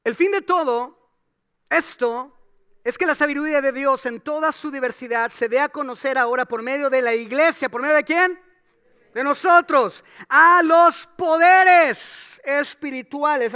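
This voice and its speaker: Bright high-voiced preacher. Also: male voice, a little slow. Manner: preaching with rhetorical questions, rising to emphatic calls. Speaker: pastor. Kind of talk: sermon.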